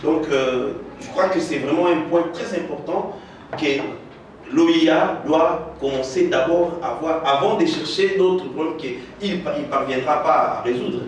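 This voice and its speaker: A man moderating a debate, answering off the cuff in a halting way with repeated words. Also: a little high. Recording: very echoey room, distant mic, faint noise.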